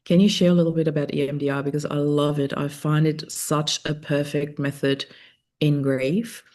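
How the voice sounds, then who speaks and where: A woman podcast host whose first language is German speaks in an even, level tone. She is thoughtful and reflective and sometimes pauses to search for the right word. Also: deep voice. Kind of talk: reflective conversational interview.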